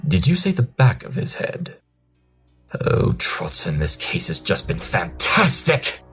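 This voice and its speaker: Brilliant pony detective acted by a man, low-pitched, pompous, self-assured, audio drama, light music behind. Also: wildly swooping pitch, slow, fairly bright tone, husky, full character voice. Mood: excited. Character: brilliant, smug pony detective, Holmes type.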